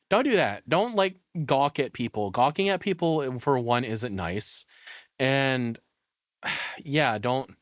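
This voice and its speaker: A man streams his reactions in a casual, off-the-cuff way. He is blunt and opinionated, swears freely and rambles as he thinks aloud. His middle-pitched voice is recorded close and dry, with no room around it. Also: no room sound. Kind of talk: casual live reaction commentary.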